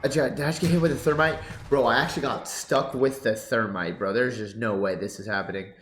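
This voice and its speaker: A man's voice: medium pitch, fairly quick, reverberant, slight background noise. Congested young man commenting on a shooter game. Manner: laid-back, excitable bursts during play. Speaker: competitive gaming YouTuber. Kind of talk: casual gameplay commentary. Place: home gaming setup. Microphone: headset with boom mic.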